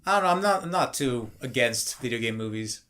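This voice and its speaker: A man chatting casually on a podcast panel, hesitant and halting as he searches for words. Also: mid-range voice, animated, fairly bright tone. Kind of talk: casual chat.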